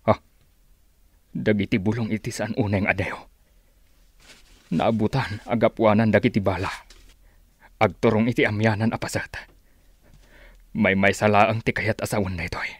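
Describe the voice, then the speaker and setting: An actor with a Filipino accent playing a determined tracker in a radio drama, seriously musing aloud to himself. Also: male voice, fairly low pitch, no echo, close to an everyday voice.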